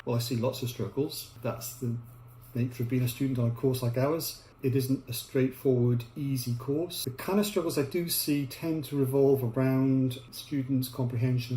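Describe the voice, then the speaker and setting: Measured older male architecture lecturer. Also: mid-range voice, steady tone, mellow. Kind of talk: interview answers. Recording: echoey room with faint hum.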